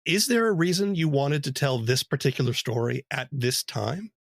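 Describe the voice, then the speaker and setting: Easygoing male interviewer, middle pitch, dead-dry recording. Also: sing-song, normal speed, vocal fry, dry recording. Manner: casual, uses fillers like you know. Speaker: podcast interviewer. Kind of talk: interview conversation.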